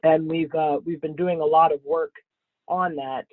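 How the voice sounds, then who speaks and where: A man's voice: on the high side, level tone, dry recording. Scratchy man on a phone-like line. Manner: halting, frequent ums and throat clearing. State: scratchy from a cold. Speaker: webinar presenter. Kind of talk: webinar slide presentation. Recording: thin phone-like webinar audio, dry.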